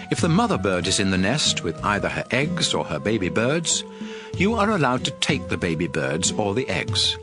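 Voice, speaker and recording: A man reading scripture as an audio Bible narrator, in a measured, solemn, instructive way. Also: on the low side. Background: music under the voice.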